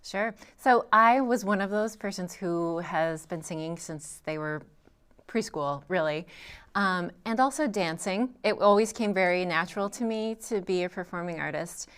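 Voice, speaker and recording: A young woman speaks in a slightly low, smooth and resonant voice. She answers questions thoughtfully, pausing on ums and restarting phrases as she goes. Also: a bit bright. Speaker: guest vocalist. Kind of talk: interview answers.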